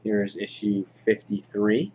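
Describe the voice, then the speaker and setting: A man giving an informal, halting show-and-tell commentary as a hobby vlog host, in an understated way. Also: lowish voice, little pitch movement, dark tone. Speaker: vlog host showing a comic book collection. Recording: dull lo-fi audio, clear background noise.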